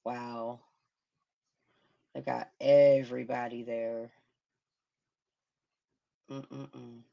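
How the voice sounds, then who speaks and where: Hoarse woman host, heavy vocal fry, murmuring commentary, lo-fi stream sound. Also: very deep voice, flat delivery, very slow, mellow. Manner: murmuring, sympathetic, hums to herself. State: hoarse, losing her voice. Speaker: livestream host. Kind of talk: casual livestream commentary on a crime case.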